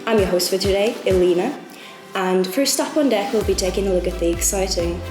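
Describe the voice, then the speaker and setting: A woman's voice: medium pitch, fairly quick. A woman hosting a student TV programme, reading a scripted intro with playful, mock-grand wording. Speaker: host. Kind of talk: scripted presenting. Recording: echoey room with music behind.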